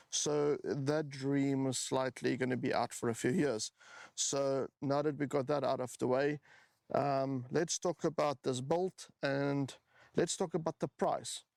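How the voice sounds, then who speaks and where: A South African man in his forties with a gravelly, slightly creaky voice at an ordinary middle pitch. He chats loosely to the camera as he shows off his bike, often stopping on an "um" before carrying on. The sound is dry and close, with no room around it. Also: darkish tone, dry recording. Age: 40s. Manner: chatty, with frequent ums and restarts. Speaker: motorbike owner showing his build. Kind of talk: casual walkaround talk to camera.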